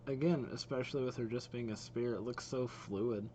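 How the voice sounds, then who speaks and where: A man hosting a reaction video with casual, impressed commentary, thinking out loud as he watches. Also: medium pitch, fairly even intonation, fairly quick, a little hiss or hum. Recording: game trailer music playing behind. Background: game trailer audio and music.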